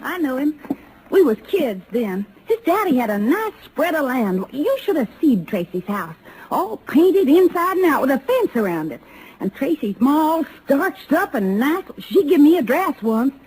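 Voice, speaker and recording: A woman's voice: sits high, sing-song, moderate pace, a little mellow. An American woman playing a hot-tempered young frontier gunslinger in a radio western, with folksy, ungrammatical, combative talk. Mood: wistful. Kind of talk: old-time radio western acting. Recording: noisy old radio drama recording.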